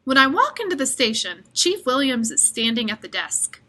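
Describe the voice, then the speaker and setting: A woman reading a children's story aloud with expressive delivery. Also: sits high, normal speed. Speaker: storybook reader.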